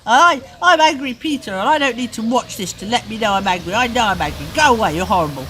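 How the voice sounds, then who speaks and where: A furious little boy as a woman storyteller puts him on, sulky and stubborn. The voice jumps high and swings sharply as he huffs and protests, with clear background noise around it. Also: expressive, quick pace, natural voice. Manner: petulant huffing, shouted protests.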